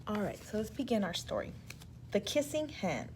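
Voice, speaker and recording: A woman teacher reading a children's story aloud in an expressive, instructive way and asking pupils questions. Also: mid-range voice, moderate pace, a little hiss or hum.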